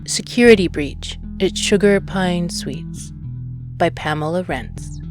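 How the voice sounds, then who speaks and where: A woman's voice: on the low side, slow, music in the background. A woman narrating a short story from a script. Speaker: audiobook narrator.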